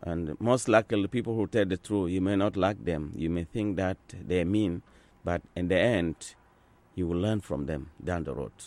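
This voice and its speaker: A man in his sixties from Sudan, an Azande speaker talking in English, answering interview questions in an earnest, unhurried way with frequent pauses and restarts. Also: low voice, a little mellow. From South Sudan. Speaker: interviewee, translator. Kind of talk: conversational interview.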